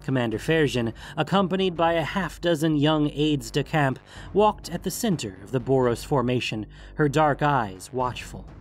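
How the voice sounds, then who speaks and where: A man's voice: a little high, lively intonation, normal speed. A man reading scripted fantasy audiobook narration, with music playing behind him.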